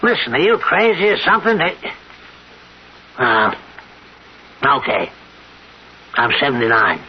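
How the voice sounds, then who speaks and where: A crotchety rich old man in a radio drama. His voice is husky and gruff, impatient and dismissive. The sound is dull, like an old lo-fi broadcast. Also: fairly high pitch, big pitch swings, measured pace, heavily acted. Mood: scornful, impatient. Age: 70s.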